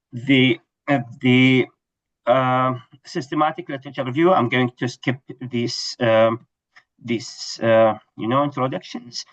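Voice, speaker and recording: A man's voice: mid-range voice, dull audio. A middle-aged man from Iraq, giving a webinar lecture on research, hesitant with many fillers and restarts. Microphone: video call.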